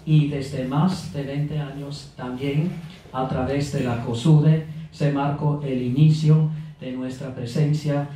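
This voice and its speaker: A middle-aged man giving a formal welcome speech at a lectern, speaking unhurriedly with pauses, fillers and small self-corrections. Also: mid-range voice, flat delivery, warm tone. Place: reception room at an embassy, indoors. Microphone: handheld microphone at a lectern. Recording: echoey room, picked up from a distance.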